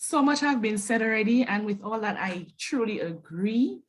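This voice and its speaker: Relaxed woman panelist, fairly high voice. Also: normal speed. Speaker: webinar panelist, teacher. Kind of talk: webinar panel talk.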